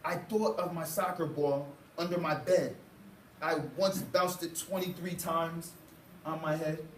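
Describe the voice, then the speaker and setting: A young man reading a children's story aloud to a class with expressive, dramatic phrasing, as a student teacher. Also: a little high, measured pace. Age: young adult. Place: indoor school classroom. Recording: roomy, distant mic, faint noise.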